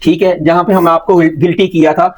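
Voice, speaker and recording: A man's voice: a little high, level tone, brisk. A man with a Pakistani accent hosting an interview, pressing his guest with repeated, probing questions. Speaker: interviewer and host. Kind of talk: probing street-level YouTube interview.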